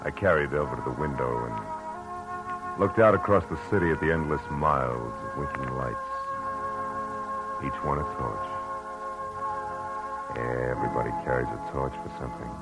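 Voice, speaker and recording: An actor playing a weary hard-boiled private eye, narrating in low, reflective first person in a 1940s radio drama. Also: male voice, normal speed, long pauses, poor audio. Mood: brooding. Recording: old radio broadcast with music.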